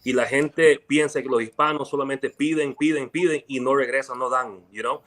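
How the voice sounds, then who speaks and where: An energetic man hosting a panel discussion, casual and slangy. He rambles a bit as he hands the floor to each guest. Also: medium pitch, brisk. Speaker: panel moderator. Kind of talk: casual panel moderation, mixing in Spanish.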